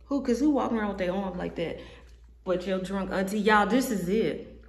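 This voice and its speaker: A woman in her thirties talks to her viewers from a chair indoors, trying on and reviewing a wig. She is sassy and full of jokes, with a medium-pitched voice. The room adds a noticeable echo. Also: moderate pace. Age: 30s. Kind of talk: casual review vlog. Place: indoor room with brick wall.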